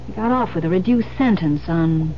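A woman's voice: fairly low pitch, lively intonation, moderate pace, natural voice. An actress playing a grave, insistent woman giving ominous warnings, emphatic in a 1970s radio drama style. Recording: muffled, hissy old radio broadcast.

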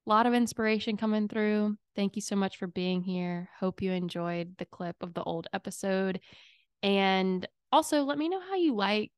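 A young adult woman hosting her own podcast, talking casually and emotionally with a slightly raspy voice, rambling with many fillers. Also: normal speed, no room sound, studio quality. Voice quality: raspy, low. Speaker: podcast host. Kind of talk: reflective solo podcast chat. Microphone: studio microphone.

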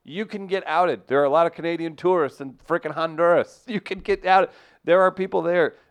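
A man co-hosting a casual podcast chat, musing aloud in a drawn-out way. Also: on the high side, fairly even intonation.

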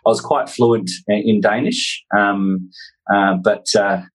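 An Australian man in his forties telling casual personal anecdotes as an interview guest, in a halting, self-deprecating way. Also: on the low side, husky, rough recording. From North Queensland, Australia. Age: 40s.

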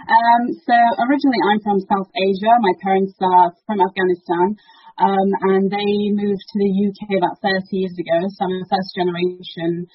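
A woman's voice: mid-range voice, level tone, moderate pace, husky, no room sound. A young woman, a guest chatting casually in a podcast interview with many ums and restarts. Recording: dull lo-fi audio.

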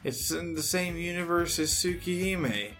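A man's voice: high-pitched, normal speed, music in the background. A man co-hosting a podcast in casual, conversational talk. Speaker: podcast co-host. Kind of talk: casual chat.

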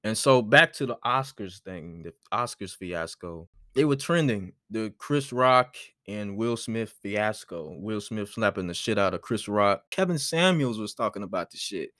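A twenty-year-old man hosting his solo podcast, rambling in a relaxed way with lots of fillers. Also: lowish voice, a little slow, hardly any pauses, dry recording, studio quality. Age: 20s. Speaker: podcast host.

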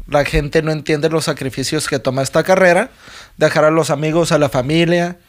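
A young Mexican man co-hosting a podcast, chatting casually in a laid-back, jokey way with lots of slang and frequent laughter. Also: fairly high pitch. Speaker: podcast co-host. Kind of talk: casual chat.

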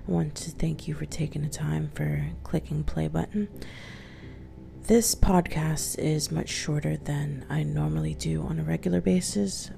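A woman hosting her own podcast, raspy from having just woken up, telling a story in a soft, often half-whispered way with many hesitations. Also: deep voice, fairly even intonation, measured pace. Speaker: podcast host. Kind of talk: casual solo storytelling.

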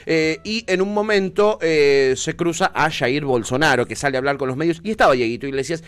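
An Argentine man hosting a radio talk show, his voice sitting a little high for a man. He chats loosely and jokes, and he stalls on many hesitant fillers along the way. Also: fairly quick, clean tone. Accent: Argentine Spanish. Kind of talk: casual political chat.

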